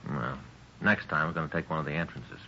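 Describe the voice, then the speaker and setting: A man's voice: rumbling low, brisk, sharp tone. A man playing an easygoing, grumbling police detective partner in conversational 1950s radio drama style. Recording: dull, noisy 1950s radio recording.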